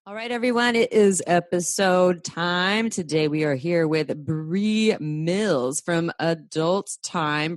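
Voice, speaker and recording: A woman's voice: lowish voice, no room sound, clean studio sound. A woman hosting a podcast in casual chat, with a gushing, informal and enthusiastic manner. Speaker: podcast host.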